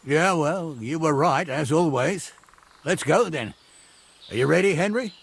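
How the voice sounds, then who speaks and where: A man's voice: on the high side, measured pace, gravelly, slightly rough, full character voice. An actor playing a haughty medieval lord leading his men in a video game, imperious and dryly sardonic. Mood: weary. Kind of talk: video game cutscene acting.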